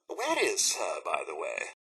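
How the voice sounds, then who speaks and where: A man's voice: sits low, huge pitch swings, slow, sharp tone, husky, full character voice. A man playing a fussy, polite robot butler in a video game, with a British accent and a prim, theatrical manner. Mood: polite, chatty. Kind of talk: scripted video game character lines.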